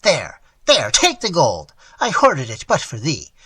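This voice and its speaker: A man plays a frail old miser, his voice shrill and wailing as it climbs and cracks in anguish. The sound is bright and a little husky, recorded dry and close. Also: wildly swooping pitch, a little slow, no room sound, heavily acted. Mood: pleading.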